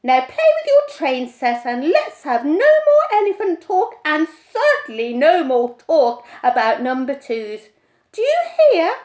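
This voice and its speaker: Woman as a strict mum, clipped and scolding, lively pitch, storybook parent. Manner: brisk and scolding. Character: firm, no-nonsense mother.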